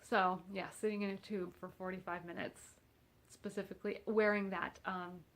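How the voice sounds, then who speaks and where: A woman's voice: medium pitch, little pitch movement, moderate pace, a bit bright. A woman vlogging casually at home, nasal from congestion, rambling along with a wry, self-mocking humor. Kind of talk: casual chat.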